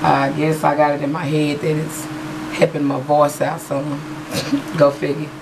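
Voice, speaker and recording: A hoarse woman, chatting casually and rambling with many fillers as a beauty vlogger. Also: deep voice, level tone, a little slow, background noise. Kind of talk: casual haul video chat. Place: small room at home, patterned backdrop. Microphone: webcam in front of her.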